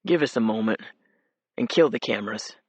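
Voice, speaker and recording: A woman reader voicing a weary, secretive middle-aged husband who speaks low and apologetically. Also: animated, fairly quick, darkish tone, breathy edge, no room sound. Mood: subdued.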